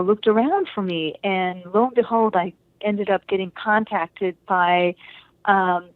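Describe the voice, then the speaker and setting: A woman's voice: mid-range voice, no echo. A woman guest telling stories in a casual interview, chatty and laughing often, switching into playful voices for quoted people. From Japan and United States. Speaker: interview guest, singer and actress. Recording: dry, tinny phone-like line.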